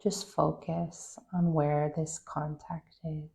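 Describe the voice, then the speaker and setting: A woman guides a meditation in a low, mellow voice with a touch of gravelly fry. Her delivery is calm and gentle, offering soft instructions and leaving long pauses between short phrases. The melody stays very even throughout.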